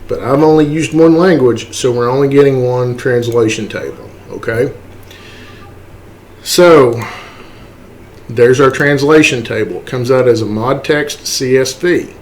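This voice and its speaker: A man giving a casual, step-by-step software walkthrough, informally checking in with okay and right as he goes. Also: mid-range voice, a little slow, a little hiss or hum. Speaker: tutorial presenter. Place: indoors, voice-over for a screen recording.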